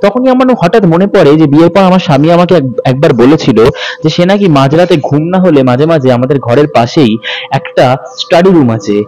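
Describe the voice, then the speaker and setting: A man narrating a ghost story as a calm, steady first-person account told from a woman's point of view. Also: a little high, moderate pace, poor audio.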